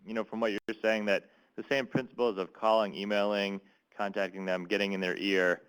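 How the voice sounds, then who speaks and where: A man moderating a webinar, summing up and inviting questions in a relaxed way. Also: fairly low pitch, fairly quick, smooth, poor audio. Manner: relaxed and friendly, with fillers. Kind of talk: casual moderating.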